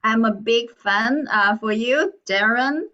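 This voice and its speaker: Woman club president hosting an online meeting; higher, bright voice, unhurried, muffled call audio. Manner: friendly, laughs between remarks. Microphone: video call audio.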